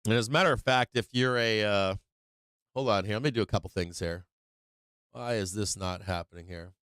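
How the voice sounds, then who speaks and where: A man's voice: fairly low pitch, brisk, a bit bright, smooth, no echo, clean studio sound. A man hosting a live stock market stream, casual and slangy, rambling with many fillers and restarts, saying he is losing his voice.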